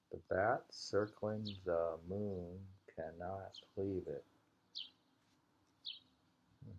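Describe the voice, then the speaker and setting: A man reading short poems in an unhurried, halting way, repeating lines slowly and musing on them informally in a dull, lo-fi home recording. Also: deep voice, a little hiss or hum. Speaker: reader of a poetry anthology.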